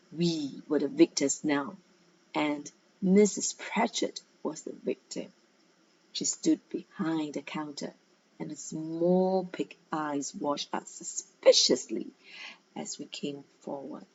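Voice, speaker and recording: A woman with a slightly low voice teaches through a read-aloud in a very slow, deliberate way. She poses questions to the learner and leans on key words as she explains them. The recording is a little dull and lo-fi. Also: darkish tone, slightly rough. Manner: instructive, rhetorical questions with emphatic key words. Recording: dull, lo-fi sound.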